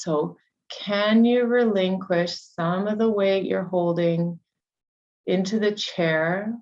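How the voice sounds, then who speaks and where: A woman leading a guided meditation, giving soothing, unhurried instructions with long pauses between phrases. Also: lowish voice, a little mellow, dull audio.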